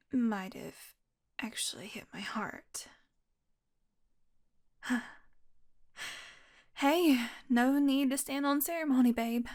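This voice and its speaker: Woman acting a wry, slow-talking female vampire. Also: on the high side, darkish tone. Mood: wounded, pained. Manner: sardonic, teasing, casual, swearing. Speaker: audio roleplay voice actress. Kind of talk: intimate audio roleplay acting.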